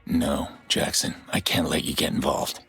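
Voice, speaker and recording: Gruff vigilante hacker, extremely low voice, gravelly, clipped, deadpan, video game drama. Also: male voice, moderate pace, soft background music, full character voice. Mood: weary, serious. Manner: terse, low-key, deadpan. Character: gruff middle-aged male vigilante hacker, terse and brooding.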